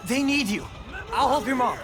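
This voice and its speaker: A man's voice: very high-pitched, expressive, moderate pace, music in the background, crowd noise. A man playing an upbeat, supportive teenage boy best friend in a video game scene. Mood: urgent. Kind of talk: video game cinematic acting.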